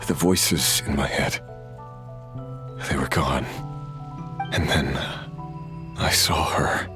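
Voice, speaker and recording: Very deep, weary resurrected warrior. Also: male voice, fairly even intonation, music in the background. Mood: wistful, sorrowful. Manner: slow, grave, halting delivery with heavy pauses. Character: resurrected male abyss-corroded warrior, sombre, weary, remorseful former villain. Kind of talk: dramatic video game dialogue.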